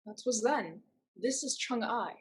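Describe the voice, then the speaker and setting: A woman's voice: fairly high pitch, animated, slow. A woman playing a bold, headstrong, defiant young survivor in a staged play reading, theatrical and emphatic. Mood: persuasive.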